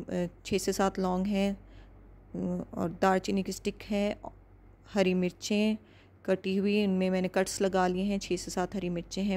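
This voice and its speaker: A woman talks through a recipe step by step, calm and instructive. Her pitch sits in an ordinary middle range, and her steady voice-over pace suits a home kitchen video. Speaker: cooking channel host.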